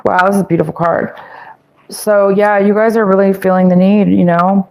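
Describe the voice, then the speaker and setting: A woman's voice: mid-range voice, level tone, normal speed, vocal fry, darkish tone, poor audio. A young woman who is losing her voice, giving a casual, rambling tarot reading to her viewers and stumbling over words. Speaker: tarot reader.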